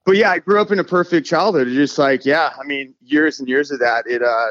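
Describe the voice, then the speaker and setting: A man's voice: on the high side, fairly quick, a bit bright, no echo, lo-fi sound. A middle-aged man from California, a motocross rider talking loosely and rambling with lots of "like" and "you know". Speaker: freestyle motocross rider, guest. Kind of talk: casual podcast conversation.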